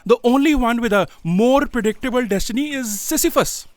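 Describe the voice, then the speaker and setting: A woman's voice: on the high side, a little fast, a little mellow, dry recording, natural voice. A woman playing a fussy, philosophical assistant in a comic audio drama, pedantic and explanatory. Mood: dry, explanatory. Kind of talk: audio drama comedy acting.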